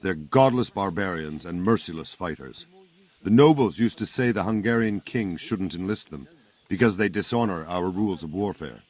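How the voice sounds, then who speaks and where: A low male voice as a seasoned soldier in a medieval game drama. He is plain-spoken and grave, and explains matters patiently, as if to a younger man. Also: moderate pace, darkish tone. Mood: grave, instructive. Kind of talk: video game cutscene acting.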